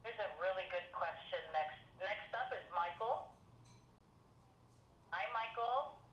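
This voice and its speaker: A woman's voice: medium pitch, slow pace. A woman acting as moderator of a live question-and-answer conference call, introducing callers and relaying questions. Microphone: conference call connection. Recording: echoey, muffled webinar call with background noise.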